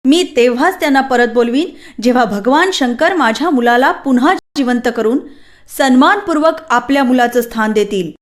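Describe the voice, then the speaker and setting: A woman's voice: high-pitched, expressive, moderate pace. A woman voicing a proud, protective mother goddess in a children's cartoon, regal and commanding. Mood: stern. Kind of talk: children's mythological cartoon dialogue.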